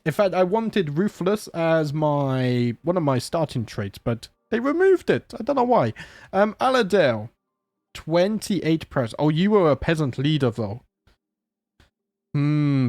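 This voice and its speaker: A man's voice: fairly high pitch, lively intonation, measured pace, darkish tone, dry recording, studio quality. A man with a sore throat, chatting casually and joking as he plays a strategy game. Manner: chatty, joking, thinks aloud. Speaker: gaming channel host. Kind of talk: casual let's play commentary.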